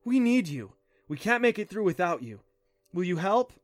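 A man's voice: high-pitched, big pitch swings, brisk, no echo, clean studio sound, pitched above the performer's own voice. A man voicing a sweet, plucky young girl in an audiobook, with a lilting, cooing and teasing way of speaking. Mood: pleading. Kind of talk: audiobook character voice.

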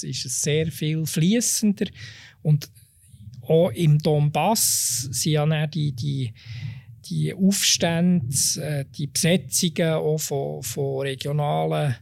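A Swiss-accented guest with a gravelly voice, pitched in the middle range. Their answers come slowly and hesitantly, full of fillers and restarts. The sound is close and dry. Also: darkish tone, dry recording. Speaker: expert guest. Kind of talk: interview answers.

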